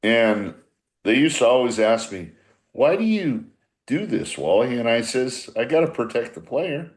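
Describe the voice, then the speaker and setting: A man's voice: mid-range voice, very animated, unhurried. A man in his sixties, a former baseball manager, reminiscing casually in a rambling, repetitive, blunt and salty way. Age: 60s. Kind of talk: casual reminiscing in a group podcast.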